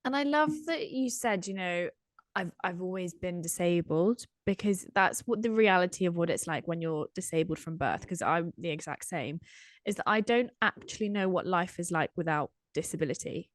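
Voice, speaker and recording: A woman with a croaky voice, hosting a podcast interview and asking earnest, drawn-out questions. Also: fairly low pitch, animated, dry recording. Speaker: podcast host.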